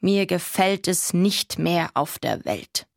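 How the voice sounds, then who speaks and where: An actress playing a measured, wistful narrator of a quiet literary story in a radio play. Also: female voice, fairly low pitch, lively intonation, gravelly, heavily acted.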